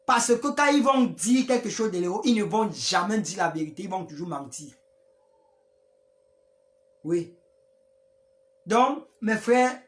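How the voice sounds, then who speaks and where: A speaker, giving a rambling, preachy live-stream address to followers, with many restarts. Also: mid-range voice. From Africa.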